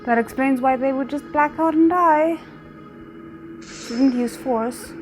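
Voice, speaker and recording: Woman reacting aloud, voice on the high side. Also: measured pace, over music. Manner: talks back to characters on screen; thinks aloud about the plot. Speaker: reaction video host. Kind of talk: casual reaction commentary.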